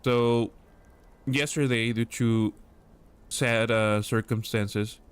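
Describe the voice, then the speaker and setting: A man's voice: medium pitch, fairly even intonation, slow pace, smooth. A man hosting a casual livestream chat, rambling and joking with many fillers. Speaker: stream host.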